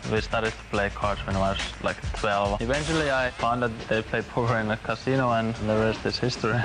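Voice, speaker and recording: A man from Finland, a poker player talking in an interview in a flat, understated, matter-of-fact way. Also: fairly low pitch, a little fast, over loud music, rough recording.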